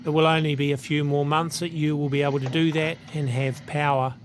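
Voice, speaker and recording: A man's voice: fairly high pitch, flat delivery, a little fast, a little hiss or hum. A man with a New Zealand accent, hosting his channel and earnestly reading a letter aloud.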